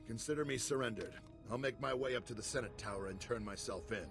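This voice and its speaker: A man playing a gruff, world-weary veteran commander in a game, gravelly, curt and sardonic. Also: mid-range voice, fast, close to an everyday voice. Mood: resigned, curt. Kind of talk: video game cutscene acting. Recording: music behind the voice.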